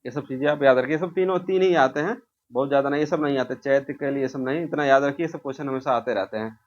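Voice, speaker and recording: A man's voice: medium pitch, quick pace, lo-fi sound. A man from India teaches an informal exam revision lesson, posing questions, answering them himself and repeating key answers.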